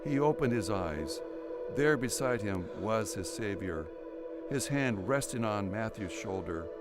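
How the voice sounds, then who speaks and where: A man's voice: lowish voice, lively intonation, a little slow. A man narrating an audio drama, reading a scripted story in a dramatic storytelling style. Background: music.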